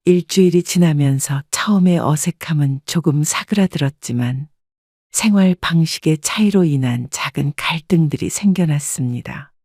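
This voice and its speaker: A woman calmly reading a scripted first-person family story as narrator. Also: deep voice, normal speed, no echo, clean studio sound.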